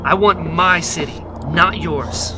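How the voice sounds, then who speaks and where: An actress playing a determined young rebel leader, earnest and defiant. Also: female voice, lowish voice, slow pace, background noise. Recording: music score behind.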